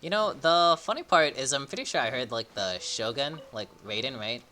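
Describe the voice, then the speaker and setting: A young man giving casual, halting, slangy commentary as he streams a game. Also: medium pitch, expressive, bright, forceful tone. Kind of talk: casual live play-along commentary.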